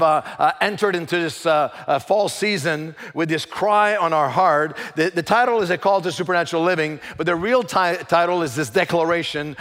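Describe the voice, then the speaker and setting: Male preacher, English rusty, stop-start with humor; a bit high-pitched, big reverberant sanctuary. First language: French. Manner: halting, with many fillers and restarts; lively, joking with the congregation. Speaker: guest preacher. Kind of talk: church sermon. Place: large church sanctuary.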